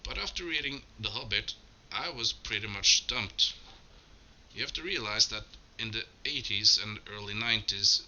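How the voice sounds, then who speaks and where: A Norwegian man, Norwegian his first language, giving a slow, measured, solemn spoken tribute with small self-corrections. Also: fairly low pitch, lively intonation, pushed and bright, a little hiss or hum, poor audio. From Norway. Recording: clear music bed behind the voice.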